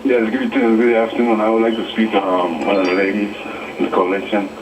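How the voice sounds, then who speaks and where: A noisy, slightly distant radio call from a man. His voice is on the low side as he speaks as a caller. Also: level tone, a little fast.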